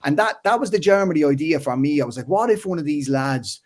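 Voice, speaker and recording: Animated Irish man from Waterford. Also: a little high, fast. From Ireland. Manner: animated, restarts often, frequent "like" and "you know". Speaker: filmmaker and comedian guest. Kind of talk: casual interview chat.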